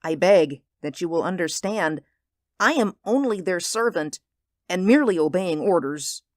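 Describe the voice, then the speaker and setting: A man voicing an uneasy, solemn company messenger in a story, speaking in a halting, quiet, formal way. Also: high-pitched, animated, no room sound, studio quality, voice pushed up. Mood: formal regret. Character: ill-at-ease, solemn male company messenger bearing bad news.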